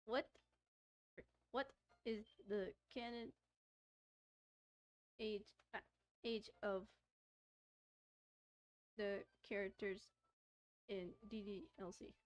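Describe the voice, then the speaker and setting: A woman's voice: medium pitch, steady tone, very slow, long pauses, lo-fi sound. A woman gaming streamer doing casual let's-play commentary, reading a visual novel aloud and often stumbling and repeating words as she reads.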